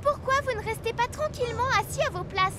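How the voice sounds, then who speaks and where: Extremely high, bossy little cartoon girl. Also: quick pace, noisy background. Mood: scolding. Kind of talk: children's cartoon dub. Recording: distant voice with music behind.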